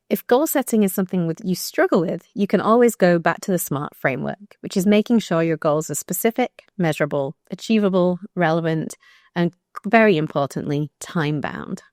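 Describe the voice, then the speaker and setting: A woman with a croaky voice reading her own blog post aloud, measured and thoughtful with brief restarts. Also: fairly low pitch, dry recording, clean studio sound. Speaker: researcher narrating her blog.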